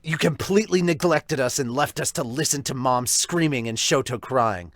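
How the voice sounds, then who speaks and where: A man's voice: medium pitch, moderate pace, dry recording, close to an everyday voice. A man voicing a young man lashing out at his father with bitter sarcasm, while fighting hiccups. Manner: bitter, sarcastic, rising to shouting.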